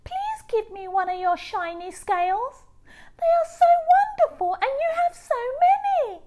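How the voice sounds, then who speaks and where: A woman's voice: extremely high pitch, very animated, unhurried, mellow, voice pushed up, exaggerated voice. A woman voicing an eager little male blue fish, pleading and childlike, for a children's storybook.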